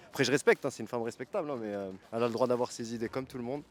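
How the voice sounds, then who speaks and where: A man's voice: mid-range voice, very fast, slight background noise. Polite, hedging man on the street. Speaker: passerby. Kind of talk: casual street interview answer. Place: outdoors on a city street.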